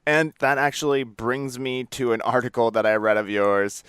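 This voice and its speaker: A man's voice: medium pitch, level tone, normal speed, a bit bright, clear, resonant tone, no room sound, clean studio sound. A man hosting a podcast in a casual, informal interview style, with an easygoing, rambling way of talking. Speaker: podcast host.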